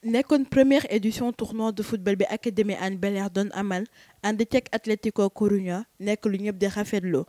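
A Senegalese woman delivers scripted reportage narration in a soft, mellow voice of medium pitch. The recording is dry and close, with the measured phrasing of a news reporter. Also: steady tone, no room sound.

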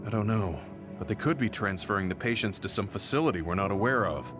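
A man's voice: on the low side, fast talker, music in the background, lightly acted. A man voicing a stern grizzled soldier. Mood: serious. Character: stern grizzled male soldier, earnest and blunt. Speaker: game character. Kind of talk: game cutscene voice acting.

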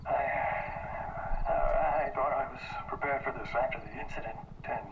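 A man playing a shaken male researcher, recording a halting, subdued log about failed experiments. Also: medium pitch, steady tone, vocal fry. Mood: shaken, hushed. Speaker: doctor recording an audio log. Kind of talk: game audio log. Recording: muffled roomy log recording with hiss.

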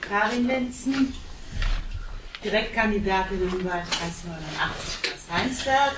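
Woman in her 40s, candidate, slow halting intro, far from the mic in an echoey room. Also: mid-range voice, background noise. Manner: halting, with fillers. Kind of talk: self-introduction in a group. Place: seminar room, indoors. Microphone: far camera.

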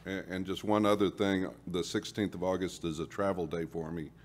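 A man on a city council proposing an amendment and explaining his reasoning deliberately. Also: sits low, flat delivery. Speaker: council member. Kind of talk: public meeting discussion.

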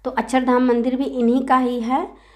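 A woman's voice: high voice, little pitch movement, moderate pace. A woman narrating a temple visit as a vlog voice-over, chatty with many fillers, her throat a little sore. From India. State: sore throat, occasional cough. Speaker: vlogger. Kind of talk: casual travel vlog voice-over. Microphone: voice-over recorded separately.

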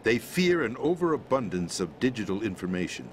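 An actor playing a middle-aged president in a video game, explaining a conspiracy in a measured, grave manner. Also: male voice, fairly low pitch, sing-song, slight background noise. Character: calm, grave middle-aged man, president briefing via codec. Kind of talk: video game cutscene voice acting.

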